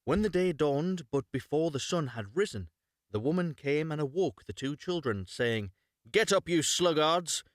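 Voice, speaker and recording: A man narrating a storybook live and unrehearsed, in a measured storytelling manner with occasional stumbles. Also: on the high side, lively intonation, darkish tone, no room sound, studio quality. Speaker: storyteller, voice-over reader.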